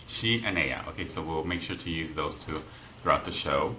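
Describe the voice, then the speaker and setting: A man's voice: rumbling low, brisk, pushed and bright, slight background noise. A man hosting a business podcast, chatty and friendly, often tagging questions with "right?"; Spanish was his first language. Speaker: podcast host. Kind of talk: conversational interview.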